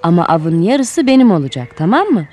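Woman voicing a sly, animated storybook fox. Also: on the low side, brisk, pitched below the performer's own voice. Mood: scheming. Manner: sly, condescending, persuasive. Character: cunning, boastful fox trickster. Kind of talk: children's storybook character voice.

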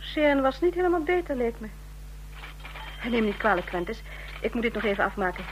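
A woman's voice: fairly high pitch, sing-song, fairly quick. A woman acting in a Dutch radio play as a polite, evasive office secretary. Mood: busy. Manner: polite, deflecting. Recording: noisy, humming old radio recording.

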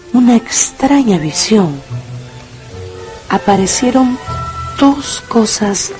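A woman's voice: mid-range voice, mellow. Female radio drama narrator over music. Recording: music under the voice.

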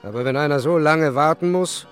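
An actor playing a chatty, nostalgic tavern companion in a radio play. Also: male voice, fairly high pitch, brisk, a bit bright, soft background music, close to an everyday voice. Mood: explaining.

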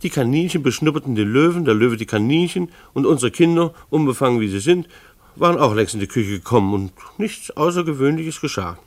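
An actor playing a mild-mannered, beleaguered schoolteacher husband who narrates a German radio play in a wry, earnest, hesitant way. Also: male voice, medium pitch, lively intonation, brisk, a little mellow, close to an everyday voice. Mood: wry narrating. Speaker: narrator and lead character.

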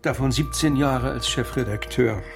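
A man's voice: medium pitch, normal speed, creaky, over music, natural voice. An actor in a radio crime drama playing a bitter, lonely laid-off editor in his fifties, aggrieved, wry and articulate. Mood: wry, melancholy. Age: 50s. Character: bitter, lonely laid-off former editor-in-chief, man of fifty-four.

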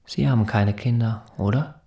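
An actor playing a self-important, intense private tutor in a radio drama, with precise, condescending, literary phrasing. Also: male voice, lowish voice, moderate pace, warm tone, close to an everyday voice. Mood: smug, earnest. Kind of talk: German radio drama acting, dialogue and inner monologue.

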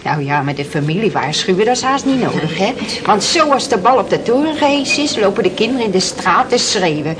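A woman's voice: medium pitch, sing-song, a little fast, few pauses, close to an everyday voice. An actress playing a sorrowful, twice-widowed fisherman's wife in a radio drama, telling her story in plain, emotional words. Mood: reminiscing. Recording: noisy old radio play recording.

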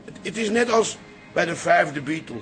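Apologetic older dad, bright voice. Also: male voice, high voice, animated, normal speed, soft background music. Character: apologetic, rambling father of a grown daughter. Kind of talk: radio play acting.

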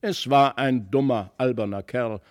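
A man voicing an impulsive, boastful young boy in a storybook, excitable and emphatic. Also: medium pitch, a little slow, lightly acted. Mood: scornful, indignant. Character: impulsive, boastful young boy, quick to scorn his toys. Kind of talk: storybook character voice.

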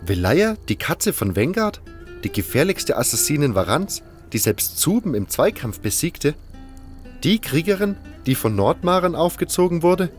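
A man's voice: a little high, sing-song, normal speed, faint music behind, pitched above the performer's own voice. A man playing a curious, easily impressed newcomer prisoner in a fantasy audio drama. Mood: excited, awestruck.

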